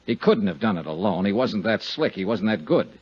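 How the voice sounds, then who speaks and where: A husky American private investigator from a 1950s radio serial, tough and unflappable. His delivery is clipped and matter-of-fact but swings widely in pitch, on a muffled old recording with music behind. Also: lively intonation, a little fast. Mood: cold, accusing. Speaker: lead actor and narrator. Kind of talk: 1950s radio detective drama acting.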